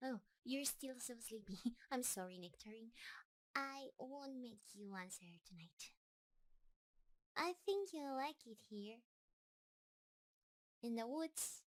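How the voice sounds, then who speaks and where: High-pitched, shy lovestruck spider girl played by a woman. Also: animated, slow, long pauses. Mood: tender, soft. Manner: nervous, hesitant, stammering, gushing. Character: shy lovestruck spider-woman monster, sweet, mildly obsessive. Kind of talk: intimate roleplay audio drama.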